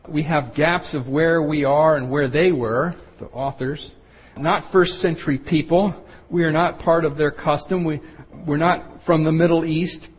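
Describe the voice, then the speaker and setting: Husky man teaching in a reverberant hall. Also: fairly high pitch, steady tone, unhurried. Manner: earnest, explanatory, building lists of points. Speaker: Bible teacher. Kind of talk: Bible study sermon.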